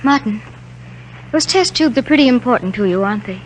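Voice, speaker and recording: An American actress playing a devoted, perceptive young doctor's wife in a 1940s radio drama. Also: female voice, a little high, expressive, fairly quick, natural voice. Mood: teasing, curious. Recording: muffled, faintly noisy 1940s radio recording.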